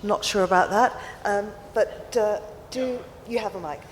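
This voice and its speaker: A woman moderating a festival panel, brisk and polite as she calls for questions. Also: mid-range voice. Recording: reverberant festival hall on PA, faint noise.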